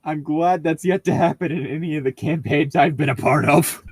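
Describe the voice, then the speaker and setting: A man's voice: a little high, moderate pace, slightly rough, no room sound. A man casually reading out and joking about tabletop gaming stories, amused and given to swearing. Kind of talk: casual group banter and story reading.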